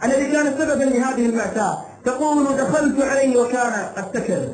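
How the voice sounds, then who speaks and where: High-pitched male preacher in echoing sermon. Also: quick pace, a little mellow. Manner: emphatic short phrases, repetition for dramatic effect. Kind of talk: impassioned sermon, telling a cautionary tale. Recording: echoey room sound with light background noise.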